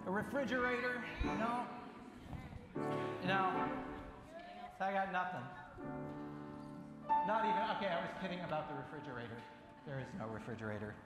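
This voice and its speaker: High-voiced man in a very echoey hall. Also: fairly quick, long pauses. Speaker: church event leader doing a magic trick. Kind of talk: playful live magic-show patter to children. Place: large indoor church hall with an audience. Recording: echoey reverberant hall, music behind.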